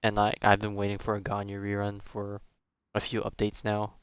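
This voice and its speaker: A man's voice: on the low side, monotone, moderate pace, smooth, dry recording, lo-fi sound. A man giving casual live gameplay commentary as a streamer, slangy and rambling as he thinks aloud. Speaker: game streamer.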